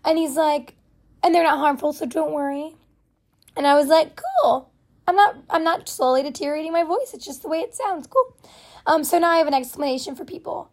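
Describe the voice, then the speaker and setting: A young woman hosts her own podcast, talking on and on in a loose, self-deprecating way. Her voice sits high but always sounds hoarse and raspy, like she's getting over a cold. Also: a little fast, darkish tone. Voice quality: hoarse, raspy voice from vocal cord nodules. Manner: rambling, chatty, self-deprecating with many fillers. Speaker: podcast host. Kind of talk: casual solo podcast chat.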